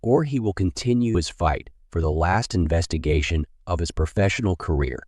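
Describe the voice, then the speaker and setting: A man's voice: sits low, moderate pace, darkish tone, no room sound, clean studio sound. A man narrating a scripted crime story in a dramatic storytelling style.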